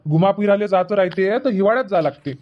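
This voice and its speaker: A man's voice: high voice, fast talker, highs cut off, close to an everyday voice. A man voicing an easygoing young husband in a comedy cartoon, who reassures his wife and keeps finding excuses. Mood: coaxing. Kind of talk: Marathi comedy cartoon dub.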